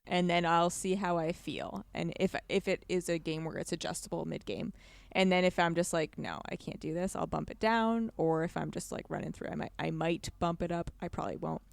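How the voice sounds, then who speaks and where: A woman's voice: on the low side, brisk, vocal fry. A woman guesting on a podcast, chatting casually in an informal, emphatic way.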